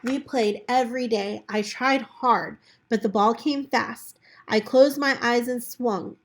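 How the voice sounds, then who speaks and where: A woman reading a children's book aloud in an expressive way, as a storyteller talking to children. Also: on the high side, slow. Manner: animated character voices for dialogue, chatty asides to children.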